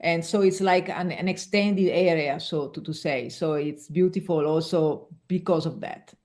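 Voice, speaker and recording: Italian woman speaking animated English. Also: low voice, normal speed. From Veneto, Italy. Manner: animated, often restarts words while searching for English phrasing. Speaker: guest, executive coach. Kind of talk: casual interview conversation.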